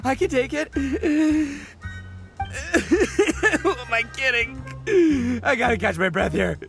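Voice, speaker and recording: An actor playing a brash, boastful oni gang boss in a video game, blustering and stammering when flustered. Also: male voice, very high-pitched, expressive, drawn-out words, gravelly, fairly bright tone, faint music behind, full character voice. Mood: flustered, strained.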